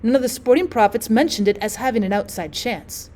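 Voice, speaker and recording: Storybook society guest, done by a female narrator: high-pitched, smooth-mannered, gushing lady. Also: brisk, a little mellow, natural voice. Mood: puzzled, polite.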